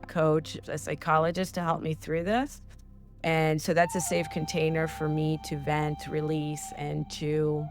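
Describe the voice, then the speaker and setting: A woman hosting a spiritual podcast, talking in an earnest, heartfelt coaching tone. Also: sits low. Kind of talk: casual spiritual advice talk.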